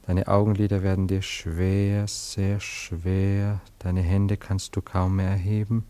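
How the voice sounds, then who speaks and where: A man's voice: sits low, little pitch movement, slow pace, warm tone. A male actor playing an anxious, lovesick poet and amateur hypnotist in a classic German radio play, theatrical and tender. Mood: soothing, commanding. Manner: theatrical, tender, wavering between commanding and evasive.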